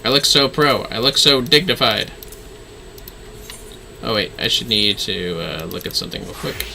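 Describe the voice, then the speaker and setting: Bright, animated male game streamer. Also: fairly low pitch, moderate pace, gravelly, a little hiss or hum. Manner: playful, talking to himself while reading skill names. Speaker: livestreamer. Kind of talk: casual gameplay commentary.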